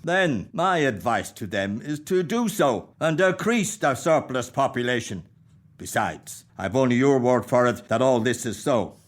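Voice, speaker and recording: An actor with an Irish accent playing a grumpy, miserly old moneylender in a radio play, gruff and dismissive. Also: male voice, mid-range voice, animated, unhurried, lightly acted. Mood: cold.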